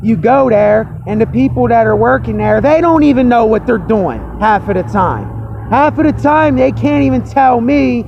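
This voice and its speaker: A man with a hoarse, cracking voice vents to his camera, fired up, repeating himself and swearing freely. His voice sits high and turns gravelly. The recording is slightly muffled, with a faint hiss underneath. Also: moderate pace. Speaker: vlogger. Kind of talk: angry personal rant to camera.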